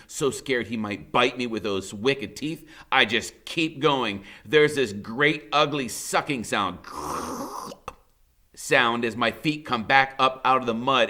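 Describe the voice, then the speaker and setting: A man's voice: mid-range voice, sharp tone. A man reading a novel aloud as dramatic first-person narration, emphatic and suspenseful.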